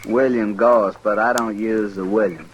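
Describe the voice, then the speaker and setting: Guy playing a gruff country american drifter outlaw, lowish voice, blunt, old radio hiss. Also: fairly even intonation, normal speed, highs cut off, natural voice. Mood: defensive. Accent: rural American. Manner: blunt, plain-spoken, folksy. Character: gruff, resigned male outlaw drifter, war veteran. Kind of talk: old-time radio western acting. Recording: noisy vintage radio recording.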